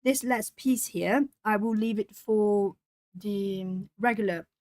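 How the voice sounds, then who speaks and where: A woman's voice: medium pitch, darkish tone, no room sound. A woman from Brazil, a native Portuguese speaker with a southern Brazilian accent, chatting casually with viewers and reading their comments aloud while she colours. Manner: chatty, reads chat comments aloud, pauses to think. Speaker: art streamer.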